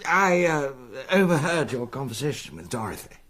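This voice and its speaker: An actor playing a diffident young 1920s gentleman in a radio drama, hesitant and earnest, with an upper-class English accent. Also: a little high, sing-song, moderate pace. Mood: uneasy.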